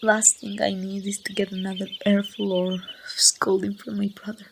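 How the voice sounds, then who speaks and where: A woman playing a grieving young woman saying goodbye to a lost loved one in an ASMR roleplay, speaking softly and haltingly, at times near a whisper. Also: mid-range voice, level tone, natural voice. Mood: heartbroken, reluctant. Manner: soft, halting, breathy delivery slipping toward whispers. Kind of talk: amateur ASMR roleplay monologue. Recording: outdoor ambience with birdsong, faint noise.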